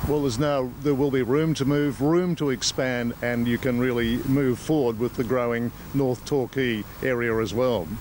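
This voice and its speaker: A man's voice: mid-range voice, fairly even intonation, normal speed, few pauses, a little mellow. An Australian man working as a local TV news reporter, conducting interviews on location. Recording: noisy outdoor location, traffic ambience.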